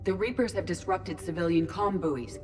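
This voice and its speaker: A woman voicing a calm ship artificial intelligence in a video game, speaking in a measured, formal, analytical way. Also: on the low side, faint music behind, lightly acted. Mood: measured, matter-of-fact.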